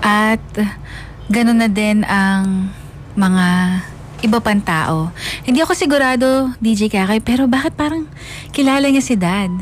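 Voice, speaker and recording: A woman's voice: on the high side, measured pace, pauses often, faint music behind. A woman narrating a dramatised first-person radio story, mixing English into her Filipino.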